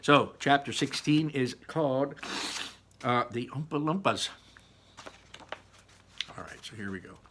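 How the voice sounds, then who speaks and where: A man informally recapping and narrating a children's story as a read-aloud host, halting and repeating words for emphasis. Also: mid-range voice, animated, a bit bright, slight background noise.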